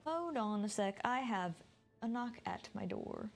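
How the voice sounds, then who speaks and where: A woman's voice: mid-range voice, animated, measured pace, vocal fry, soft background music. A woman streamer giving informal, rambling live commentary while she plays a video game. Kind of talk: casual live gameplay commentary.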